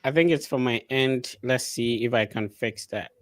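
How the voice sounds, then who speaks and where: A man's voice: medium pitch, moderate pace. A man hosting a casual live interview over an online video call. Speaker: host of an online interview show.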